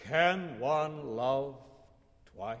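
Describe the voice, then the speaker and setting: A man's voice: medium pitch, very slow, fairly bright tone. A man voicing a tender, anxious young widow who pleads in a raised, breaking voice. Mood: tender, troubled. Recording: long hall reverb.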